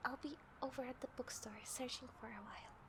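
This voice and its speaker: A woman puts on a meek, polite young woman for an audio drama. She sounds soft and fairly high, stammering apologetically as if worried and unsure. Light city noise runs behind her. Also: fairly even intonation, fairly quick. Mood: meek, apologetic. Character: timid, polite young woman feigning worry over lost dog. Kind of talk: scripted audio roleplay. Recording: background noise with city ambience effects.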